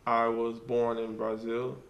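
A young man talking casually in a blind-date video, joking and quick to defend himself. His voice sits at a middle pitch and bounces around a roomy space. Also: monotone, lo-fi sound. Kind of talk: casual banter.

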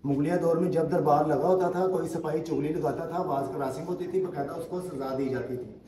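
A man's voice: fairly high pitch, a little fast, far from the mic, crowd noise. Man guiding a tour in echoey stone halls. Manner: explanatory, asks if listener follows. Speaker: tour guide. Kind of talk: guided tour explanation. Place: inside an old stone fort, in and out of doors.

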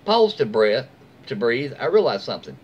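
A man in his forties with an American accent reads a story aloud in a medium-pitched voice. He is informal and sometimes trips on a word and fixes it. The home recording is slightly dull and lo-fi, with faint noise behind him. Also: big pitch swings, moderate pace. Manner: stumbles over words and corrects himself. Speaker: storyteller reading online posts aloud. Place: small room at home, indoors.

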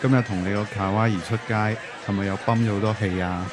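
A man's voice: lowish voice, fairly even intonation, fast talker, natural voice. A man playing a blunt, teasing young best friend in a radio drama. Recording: music and crowd chatter behind.

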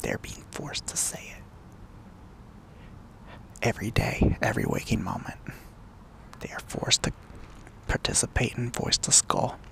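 A man speaking in a hushed, halting, unscripted monologue, breathy and gravelly, with frequent pauses. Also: mid-range voice. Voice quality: breathy whispering with gravelly fry.

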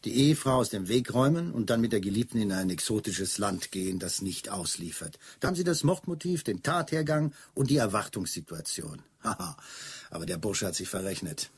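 An actor playing an impatient, sardonic Scotland Yard superior in a radio play, commanding and wry. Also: male voice, lowish voice, very animated, brisk, breathy edge, heavily acted. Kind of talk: German radio play dialogue.

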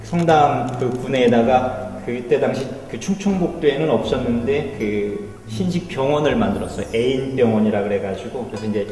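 A middle-aged man explains history as a guide would, pausing now and then to find words. His medium-pitched voice rings around a reverberant hall and sits some way from the microphone. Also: mellow. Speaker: Anglican priest, church guide. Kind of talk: informal explanatory guided tour of church history. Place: inside a wooden church hall. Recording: echoey hall, distant mic, faint music.

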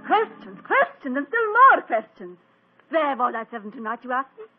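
A woman's voice: high voice, very animated, normal speed, slightly rough, exaggerated voice. An actress playing a haughty, scornful foreign woman in a 1940s radio drama. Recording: tinny old radio with organ.

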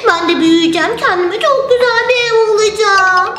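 Excitable, squeaky little girl doll. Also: brisk. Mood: whiny, sulky. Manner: exclamatory, childish, sing-song. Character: whiny, excitable little girl toy doll, easily delighted. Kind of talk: children's toy-play storytelling. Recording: roomy sound with music behind.

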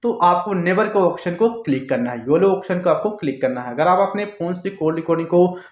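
A man's voice: high-pitched, animated, fast talker, a little mellow. A man giving a step-by-step phone tutorial to camera, with repetitive, instructional phrasing. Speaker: tech tutorial host. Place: small indoor office, seated. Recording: echoey room, dull muffled sound.

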